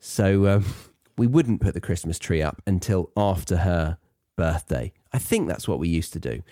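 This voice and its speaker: A British man with a low, mellow voice explains things in a chatty way. His delivery is animated and playful, wandering into self-mocking asides, recorded close and dry. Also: moderate pace, no echo. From Britain. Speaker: podcast host teaching English learners.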